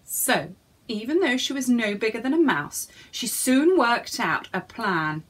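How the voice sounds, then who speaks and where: A woman's voice: on the high side, expressive, measured pace. A woman reading a storybook to young children in a theatrical way, addressing her listeners directly.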